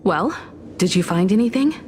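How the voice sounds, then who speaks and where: A woman's voice: mid-range voice, expressive, moderate pace, darkish tone, a little hiss or hum, close to an everyday voice. An actress voicing a composed, methodical young female investigator in a video game. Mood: calm, focused.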